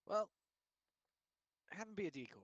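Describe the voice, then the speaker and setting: A man's voice: high voice, expressive, normal speed, fairly bright tone, no echo, muffled recording. A man bantering casually as co-commentator on a game, with a teasing, wry manner. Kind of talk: casual let's-play banter.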